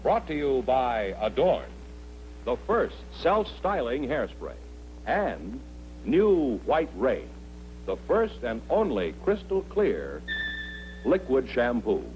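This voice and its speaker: A man's voice: mid-range voice, big pitch swings, slow, darkish tone, soft background music, low-quality recording. A man working as the show's announcer, reading sponsor copy in a polished announcer style. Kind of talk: radio-TV announcer and sponsor read.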